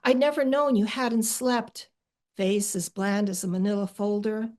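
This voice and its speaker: Middle-aged female poet, hesitant conversational delivery, slow deliberate reading of poems. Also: medium pitch, steady tone, a little mellow. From Ukrainian-American family.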